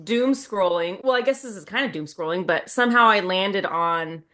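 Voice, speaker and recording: A woman's voice: medium pitch, normal speed, pushed and bright. A woman co-hosting a podcast, chatting casually in a rambling, conversational way. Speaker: podcast co-host. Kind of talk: casual chat.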